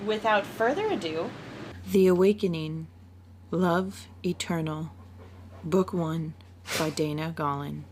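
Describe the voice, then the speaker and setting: A woman writer speaking carefully and deliberately, like an amateur reading her own work aloud. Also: on the low side. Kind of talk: amateur announcement and audiobook narration. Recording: clear steady background noise.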